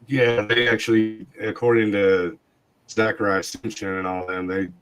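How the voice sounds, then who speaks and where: Man, very low voice, monotone, hoarse, scratchy, loose casual podcast chat. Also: normal speed. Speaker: podcast host.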